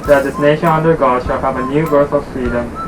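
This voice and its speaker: A man's voice: medium pitch, steady tone, fairly quick, background noise. A man, talking casually to camera as a student guest. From Japan. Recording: somewhat distant microphone, music behind.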